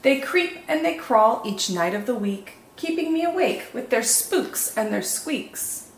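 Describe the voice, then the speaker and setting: A woman reading picture books aloud as a children's storytime host, expressive and deliberate, with drawn-out dramatic emphasis. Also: fairly high pitch. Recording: echoey room, faint background noise.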